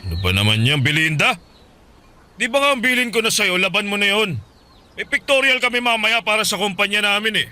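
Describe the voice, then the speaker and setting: A man voicing a short-tempered middle-aged husband who snaps and shouts in a radio drama. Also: high-pitched, sing-song, a little fast, exaggerated voice. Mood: irritated. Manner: snapping, shouting. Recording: background music. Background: soft music.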